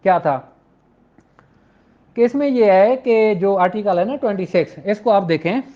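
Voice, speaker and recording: A man with a Pakistani accent giving an informal law lecture in a conversational, questioning way. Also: high voice. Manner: conversational, poses rhetorical questions, acts out courtroom exchanges; switches between Urdu and slowly read English legal text. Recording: dull, lo-fi muffled audio.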